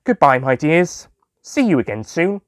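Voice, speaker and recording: A man's voice: on the high side, big pitch swings, a little slow, no room sound, clean studio sound. A man playing a jolly, sentimental male sweet-shop owner who tells his own story in a fond, theatrical manner for an audio drama.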